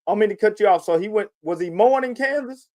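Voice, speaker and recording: A man's voice: sits high, expressive, fast talker, darkish tone, no echo, studio quality. A man hosting a podcast in casual, slangy talk, often addressing the listener as "man". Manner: informal slangy talk, frequent "man" and "you know what I'm saying". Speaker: podcast host.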